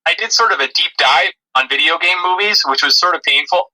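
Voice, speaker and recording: Man, higher-pitched voice, very sharp bright tone, podcast co-host, casual review chat. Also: a little fast, low-quality recording.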